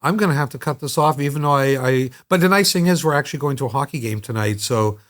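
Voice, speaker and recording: A man's voice: medium pitch, quick pace, a bit bright. A middle-aged man with a Canadian accent hosting a podcast, chatting casually with blunt, joking, sometimes profane remarks. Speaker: podcast host.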